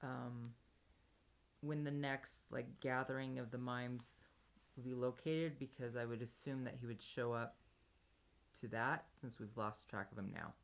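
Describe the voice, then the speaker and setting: A woman's voice: very deep voice, fairly even intonation, a little slow. A woman playing a tabletop game, casually laying out what her character will do.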